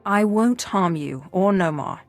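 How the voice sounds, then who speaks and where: An actress voicing a bold, meddling female dark-side sorceress in a video game, direct and assured. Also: medium pitch, lively intonation, unhurried, soft background music. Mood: assured, teasing. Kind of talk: dramatic video game cutscene acting.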